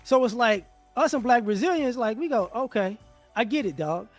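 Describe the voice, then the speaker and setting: High-voiced man, slangy and animated. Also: normal speed, faint music behind. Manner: slangy, animated storytelling with many restarts. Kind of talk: casual discussion. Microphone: remote call setup.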